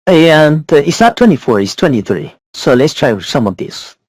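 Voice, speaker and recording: A man giving a casual, blunt spoken software review. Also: on the high side, sing-song, normal speed. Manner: blunt, repetitive criticism.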